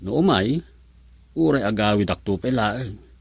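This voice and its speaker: An actor with an Ilocano Filipino accent playing a chatty, curious village official in a radio comedy drama. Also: male voice, fairly low pitch, lively intonation, a little slow, creaky, husky, no echo, heavily acted. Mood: drowsy, bored. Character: village official, chatty curious man, son-in-law of the chairman.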